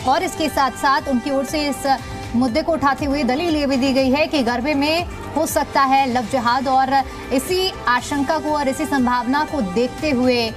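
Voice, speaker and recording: A woman's voice: sits high, fairly even intonation, a little slow. A woman news anchor presenting live in a repetitive, explanatory news style. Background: background music.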